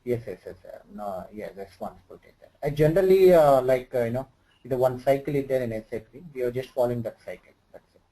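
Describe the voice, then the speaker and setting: A man from Andhra Pradesh with an Indian English accent, tutoring one-on-one as an instructor and pausing often over fillers and loose grammar. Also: mid-range voice, expressive, mellow, rough recording. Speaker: SAP HR instructor.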